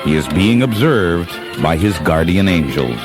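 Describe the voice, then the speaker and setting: Man as storybook narrator for a holiday radio play, deep, measured and wistful, music underneath. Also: expressive. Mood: solemn, wistful. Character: male storyteller narrator of a wistful Christmas tale.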